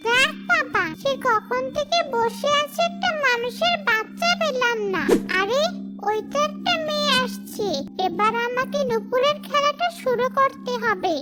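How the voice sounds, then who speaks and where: A very high-pitched female ghoul played by a woman from a cartoon horror tale, scheming and hungry for victims. She gloats and threatens, with loud music running behind her. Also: animated, unhurried. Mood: impatient, scheming. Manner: gloating, menacing. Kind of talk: children's cartoon horror story acting.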